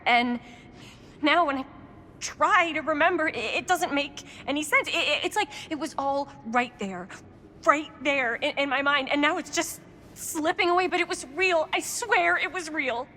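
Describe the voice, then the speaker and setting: High, bright, earnest young woman, reverberant. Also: lively intonation, a little slow, a little hiss or hum, exaggerated voice. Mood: confused, distressed. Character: earnest, distressed young woman, insistent and vulnerable. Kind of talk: cinematic horror game acting.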